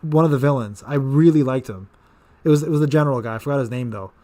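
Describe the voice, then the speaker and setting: A man's voice: mid-range voice, fast talker, warm tone. A man in his thirties hosting a casual live-stream Q&A, rambling with repeated words and fillers. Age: 30s. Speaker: gaming channel host.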